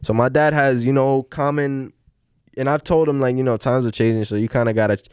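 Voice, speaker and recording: A man's voice: mid-range voice, steady tone, a little fast, darkish tone, clear, resonant tone, dry recording, clean studio sound. A young man on a podcast, chatting casually, rambling with lots of "like" and "you know". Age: young adult. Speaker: podcast host. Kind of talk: casual chat.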